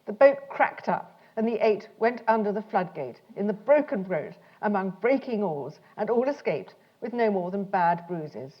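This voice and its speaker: Female letter reader, mid-pitched with animated intonation, slightly muffled recording. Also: normal speed, hardly any pauses. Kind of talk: reading a letter aloud. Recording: dull, muffled talk recording.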